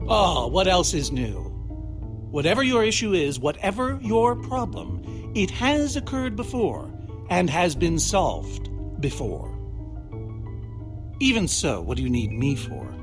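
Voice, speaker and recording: Loud music runs under a neurotic male recluse from a video game. His voice sits a little high and swings widely, with a theatrical, wordy, evasive delivery that turns every remark into a wry little performance. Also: very animated, measured pace. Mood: exasperated, dismissive. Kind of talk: animated video game voice acting.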